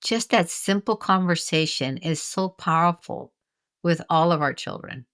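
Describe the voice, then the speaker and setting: An older woman with a slightly low voice talks about her own life in an interview. She is earnest and reflective, often breaking off and restarting her phrases as she searches for the right words. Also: a little slow, a bit bright. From United States. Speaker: guest, author and retired teacher. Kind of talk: candid interview conversation.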